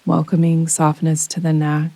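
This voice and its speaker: A woman gently guiding a yoga nidra relaxation meditation in a soothing, unhurried manner with long pauses. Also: low voice, monotone, no room sound. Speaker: meditation guide. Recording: close dry voice over rain sounds. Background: soft rain sounds.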